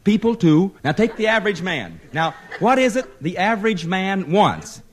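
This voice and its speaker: A daffy, wealthy eccentric in 1940s radio comedy style. He speaks high in a man's range with lively swings of pitch. His delivery is dotty and rambling, and he repeats himself. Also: normal speed, slight background noise. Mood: musing.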